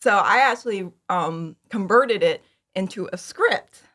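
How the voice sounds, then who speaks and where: A woman's voice: medium pitch, lively intonation, unhurried, bright, forceful tone. A woman chatting casually as an interview guest about her own creative work. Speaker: interview guest, fan audio drama creator. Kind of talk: casual chat, telling how a project came about.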